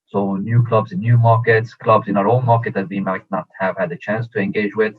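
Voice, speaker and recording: A man who grew up in Sweden, a football agent answering interview questions in a measured way with many fillers and restarts. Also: on the low side, fairly even intonation. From Iran, raised in Sweden. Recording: tinny phone-like audio.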